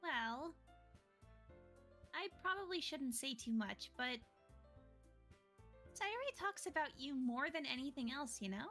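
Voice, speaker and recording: A woman acts out a caring teenage girl club president from a visual novel. Her voice is high and lilting, gentle and a little coy, with faint music underneath. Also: lively intonation, measured pace, pauses often, exaggerated voice. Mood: coy, careful. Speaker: guest player voicing a game character. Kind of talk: amateur visual novel voice acting.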